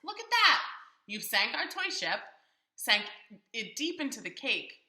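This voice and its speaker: A woman's voice: a little high, sing-song, unhurried, bright, forceful tone, full character voice. A woman voicing an indignant, fussy pet fish in a storybook, scolding in an emphatic, bossy way. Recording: roomy echo.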